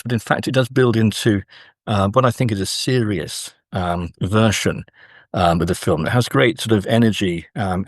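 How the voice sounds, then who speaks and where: A man with a fairly low voice talks as an academic guest in an interview. He explains his ideas thoughtfully, often halting mid-sentence to find the next phrase. The sound is close and dry. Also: no echo. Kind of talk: interview discussion, scholarly explanation.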